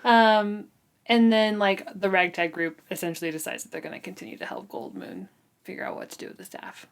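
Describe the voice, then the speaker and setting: A woman's voice: medium pitch, fairly quick, creaky, clear, resonant tone. A woman in her thirties hosting a book discussion podcast in a casual, conversational way, often dropping in "like". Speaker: book club podcast host.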